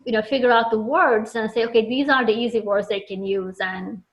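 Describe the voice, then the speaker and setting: A woman's voice: fairly high pitch, steady tone, fairly quick. A woman from Turkey with a Turkish accent, earnestly explaining her work as a children's book author in an interview, often restarting her sentences. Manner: earnest, thoughtful, with frequent restarts. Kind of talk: interview answers. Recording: dull lo-fi audio.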